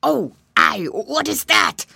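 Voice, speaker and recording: Lady doing a mean hungry gator villain for a kids story, gloaty n snappy, really animated. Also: on the high side, unhurried, creaky, dry recording, studio quality, voice pushed up, exaggerated voice. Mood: alarmed. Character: bad bullying alligator, hungry, snappish male villain. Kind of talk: children's storybook character voice.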